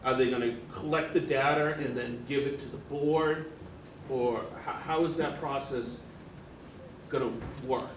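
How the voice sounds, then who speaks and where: A man on a board, speaking loudly without a microphone and asking a casual question. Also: medium pitch, fairly even intonation, a little slow. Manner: casual, loud without the mic. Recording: far from mic, echoey, noisy.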